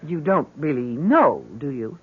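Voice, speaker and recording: Shaken middle-aged mother, radio drama: urgent, emotional, breathy-rough tone, muffled vintage sound. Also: low voice, big pitch swings, a little slow, exaggerated voice. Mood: uneasy, hesitant. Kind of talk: 1970s radio drama acting. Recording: dull vintage radio broadcast.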